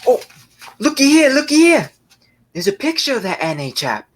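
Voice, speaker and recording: Guy doing nosy chatty bloke on a train, excitable, old radio play, really up and down. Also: extremely high pitch, moderate pace. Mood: excited. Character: chatty, excitable working-class London male train passenger. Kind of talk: 1930s radio play acting.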